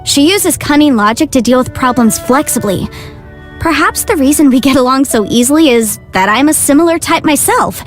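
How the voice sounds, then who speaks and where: A woman voicing a bright, confident young female legal adviser in a video game, chatty and proud, articulate and expressive. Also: sits high, normal speed. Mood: fond, reflective. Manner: articulate, expressive, self-assured. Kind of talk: scripted video game character monologue. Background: music.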